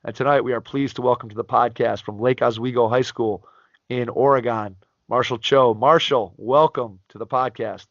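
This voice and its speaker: A man hosting a basketball coaching podcast, reading a guest's biography in a measured announcer style and then asking interview questions. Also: mid-range voice, fairly even intonation, no room sound, dull audio. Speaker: podcast host.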